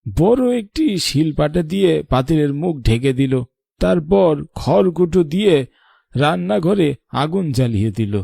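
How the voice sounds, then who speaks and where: Man voicing a creaky old grandfather, high-pitched. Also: animated, moderate pace, mellow, no echo, clean studio sound, exaggerated voice. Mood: storytelling. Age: older. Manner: scolding, then tender storytelling. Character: old grandfather, protective, weary, sharp-tongued but affectionate. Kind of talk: cartoon voice acting.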